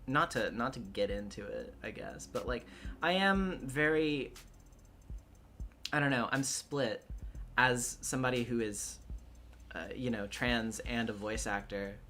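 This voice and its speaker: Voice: medium pitch, a little slow, sharp tone, soft background music. A livestreamer and voice actor with a male-range voice, chatting casually in a hesitant, thinking-aloud way.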